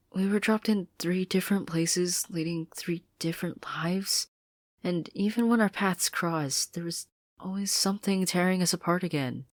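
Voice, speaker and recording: A woman voicing an anxious, apologetic teenage girl in a story reading, hesitant and pleading, often dropping to a breathy near-whisper. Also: fairly low pitch, a little mellow, no echo, studio quality, voice pushed up.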